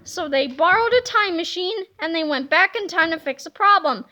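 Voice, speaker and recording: A woman reading a children's comic book aloud in a playful, animated way, slipping into silly voices. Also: very high voice, moderate pace. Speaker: reader.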